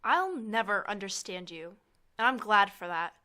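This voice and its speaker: Resentful, anxious student, played by a woman: hurt, bitter edge, bright forceful tone, mid pitch, audio drama. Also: very animated, normal speed. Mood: bitter, defiant.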